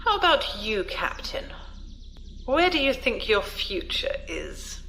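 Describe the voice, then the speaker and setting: Shrewd old noble lady, slow and deliberate, very expressive pitch, condescending, sci-fi radio play. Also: a little hiss or hum, full character voice. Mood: cool, probing. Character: shrewd, imperious older noblewoman, cryptic and probing. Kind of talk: science fiction audio drama acting.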